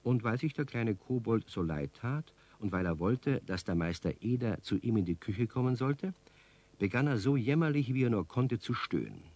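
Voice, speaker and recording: A man acting as the wry storyteller of a children's radio play, narrating with a husky voice. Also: mid-range voice, animated, normal speed, a little mellow. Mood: wry storytelling.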